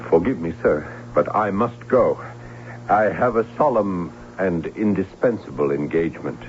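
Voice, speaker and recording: An actor playing a grave, courtly, doomed bridegroom from the grave in an old radio drama, speaking formally and ominously. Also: male voice, low voice, animated, normal speed. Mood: solemn, ominous. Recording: muffled phone-like old radio, humming noise.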